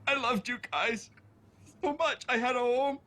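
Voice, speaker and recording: A woman's voice: fairly high pitch, unhurried, bright, forceful tone. An actress playing a lonely, homesick, guilt-ridden woman, pleading and repeating herself in a film drama. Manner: pleading, repetitive.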